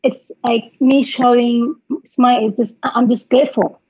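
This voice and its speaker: A young woman from Ethiopia with an Ethiopian accent, a podcast guest telling her life story in halting English, repeating words and laughing through hard memories. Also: fairly high pitch, steady tone, darkish tone, husky, dry recording, highs cut off.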